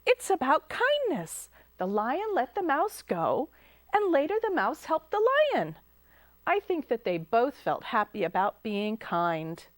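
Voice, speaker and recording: A woman voicing an eager young schoolgirl in a storybook, speaking brightly. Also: high voice, huge pitch swings, unhurried, no echo, exaggerated voice.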